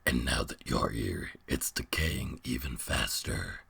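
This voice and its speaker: A man voicing a weary, sorrowful giant beast in a slow, grave, breathy growling whisper for a dramatic fantasy story reading. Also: a bass voice, fairly bright tone, dry recording, voice pushed down, heavily acted. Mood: grave, regretful. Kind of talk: dramatic fan fiction reading.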